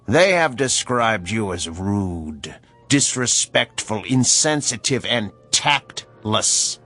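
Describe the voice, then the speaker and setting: A slow, measured, mysterious stranger. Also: male voice, on the low side, very animated, sharp tone, soft background music, full character voice. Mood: stern, accusing. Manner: measured, formal, politely ominous. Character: mysterious male agent of cosmic balance, unflappable. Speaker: actor. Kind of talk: radio drama acting.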